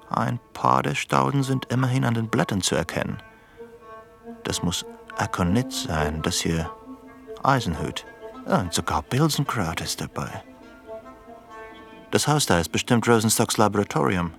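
An actor playing a sceptical, inquisitive investigator in a radio play, probing with questions. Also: male voice, on the low side, vocal fry, a bit bright, heavily acted. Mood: hushed, puzzled. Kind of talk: German radio play acting.

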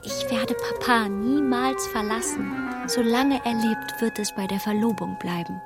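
An actress playing a devoted, earnest young gentlewoman in a period radio play, speaking standard German. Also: on the high side, measured pace, over loud music. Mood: resolute, devoted. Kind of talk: period radio drama acting.

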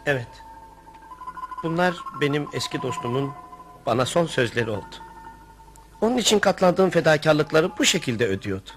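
A man's voice: on the high side, lively intonation, moderate pace, long pauses, faint music behind, close to an everyday voice. A man playing a wry male narrator recounting his friend's misadventure in a radio play, speaking formally. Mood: wry narrating. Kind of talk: radio theatre storytelling.

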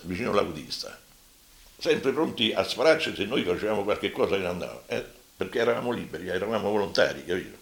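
Old guy in his 80s telling what he lived through, gravelly, stumbles and repeats, echoey room. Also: mid-range voice. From Rome, Italy. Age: elderly, over 80. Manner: halting, repetitive, emphatic. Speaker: deportation survivor giving testimony. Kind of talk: spontaneous oral testimony.

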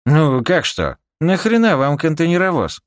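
Мужчина озвучивает суетливого, говорливого корабельного инженера в аудиокниге, взволнованно и по-разговорному. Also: male voice, on the high side, very animated, unhurried, no room sound, clean studio sound, pitched above the performer's own voice. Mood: curious, incredulous. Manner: agitated, wheedling, colloquial. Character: fussy, haggling male ship engineer, excitable and talkative.

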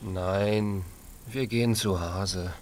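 A man's voice: on the low side, steady tone, very slow, darkish tone, slight background noise. A man playing a gloomy, anxious little piglet in a children's radio play, speaking in a hesitant, earnest, childlike way. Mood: downcast. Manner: hesitant, downcast questioning.

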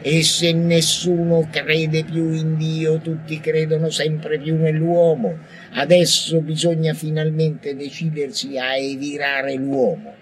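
A man's voice: fairly high pitch, flat delivery, slow pace, pitched above the performer's own voice. A man playing an intense, defiant madman poet in a theatrical, declamatory radio monologue with prophetic ranting. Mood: feverish, insistent. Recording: noisy radio recording.

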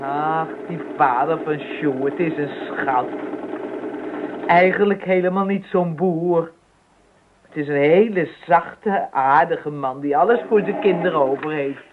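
A woman's voice: low voice, unhurried. A woman playing a delighted, homely, chatty middle-aged mother in a radio play. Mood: fond, pleased. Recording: noisy, dull old radio recording.

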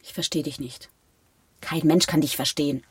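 Husky, exasperated, blunt woman. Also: deep voice, fairly even intonation, normal speed, no room sound. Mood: exasperated, weary. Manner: blunt, reproachful. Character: exasperated, blunt, down-to-earth woman confronting a dreamer. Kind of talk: German radio drama acting.

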